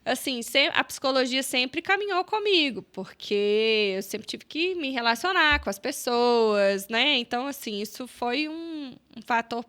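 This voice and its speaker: A woman with a Brazilian Portuguese accent, a podcast guest recounting her career in a lively, chatty way full of fillers and quoted self-talk. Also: sits high, a little slow, hardly any pauses, clean tone. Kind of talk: casual storytelling interview.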